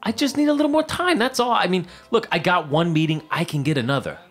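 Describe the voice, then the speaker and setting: An actor playing an eager, deluded struggling screenwriter in a period audio drama, fast-talking and stammering as he pleads. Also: high voice, expressive, fairly bright tone, faint music behind. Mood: desperate, insistent.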